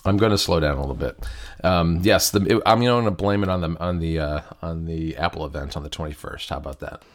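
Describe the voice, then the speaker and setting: A man's voice: low-pitched, fairly quick. A man co-hosting a podcast, talking in an easygoing, conversational way with some ums, stuffed up from a cold. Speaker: podcast co-host. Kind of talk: casual podcast chat.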